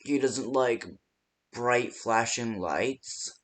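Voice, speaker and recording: A man's voice: mid-range voice, very slow, a bit bright. A man giving casual, improvised phone-call voice lines for a game, hesitant and rambling with many ums. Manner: casual, unpolished delivery. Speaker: phone-call guide in a fan horror game.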